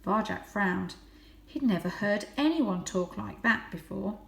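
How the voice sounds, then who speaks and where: A woman's voice: mid-range voice, lively intonation, measured pace, vocal fry, a bit bright. Woman reading a children's story, echoey room. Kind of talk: children's audiobook narration. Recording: roomy, echoing indoor sound.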